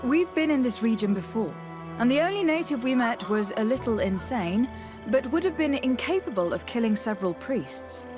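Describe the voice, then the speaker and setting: A woman's voice: on the high side, expressive, normal speed, close to an everyday voice. Woman as composed noblewoman, music behind. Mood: calm, questioning. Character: composed, diplomatic noblewoman investigator. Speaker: game character voice actor. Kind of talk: measured video game acting.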